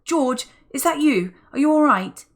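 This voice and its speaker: A woman's voice: sits high, animated, unhurried, voice pushed up. A woman voicing an anxious captive father in an audiobook, calling out anxiously.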